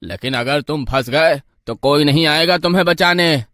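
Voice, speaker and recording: A man's voice: on the high side, normal speed, fairly bright tone, no room sound, studio quality, lightly acted. A man playing a worried, cautioning middle-aged uncle in a horror story, speaking earnestly. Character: cautious, worried village uncle advising his nephew.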